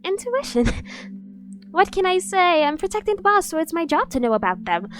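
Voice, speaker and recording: A woman's voice: very high voice, very animated, normal speed, soft background music. A woman playing a dorky, obsessively devoted yandere bodyguard in an ASMR roleplay, cutesy, gushing and insistent. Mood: playful, teasing.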